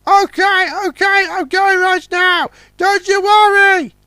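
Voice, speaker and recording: A man's voice: very high-pitched, little pitch movement, slow pace, pushed and bright, dry recording, clean studio sound, voice pushed up, full character voice. A man voicing a small cheerful male train, chirpy and eager. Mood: cheery.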